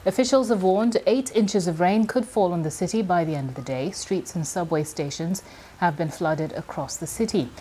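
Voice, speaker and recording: Low-voiced woman news anchor. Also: warm tone, a little hiss or hum. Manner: measured, polished delivery. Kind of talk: live news presenting and interviewing.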